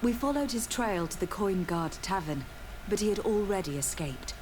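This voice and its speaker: A woman playing a poised, firm young noblewoman envoy in a video game, speaking in a formal, measured way. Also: medium pitch, lively intonation, noisy background, lightly acted. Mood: composed, formal.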